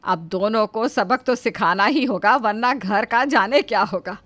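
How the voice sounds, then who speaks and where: A woman's voice: mid-range voice, measured pace, fairly bright tone, no echo, lightly acted. A woman playing an exasperated mother-in-law in a moral story. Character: exasperated mother-in-law managing lazy daughters-in-law. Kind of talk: scripted Hindi moral story acting.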